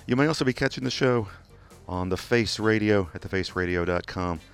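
A man with a Kentucky accent hosting a community radio music show from a studio, talking in a relaxed, rambling way with many "uh" fillers and restarts. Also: lowish voice, a little fast. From England. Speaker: radio DJ host. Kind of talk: casual radio DJ talk. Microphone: studio microphone.

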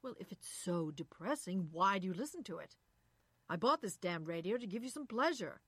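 A woman's voice: low-pitched, moderate pace, a bit bright, slightly rough, no echo, exaggerated voice. A woman voicing a weary, exasperated husband in a story reading, firm and remonstrating. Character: weary, exasperated husband, reasonable but resentful.

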